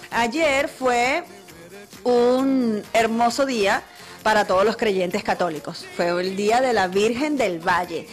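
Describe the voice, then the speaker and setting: Venezuelan woman, middle-aged radio host, fairly high voice, chatty delivery, faint music underneath. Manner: warm, chatty, listing names quickly. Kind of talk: casual radio talk.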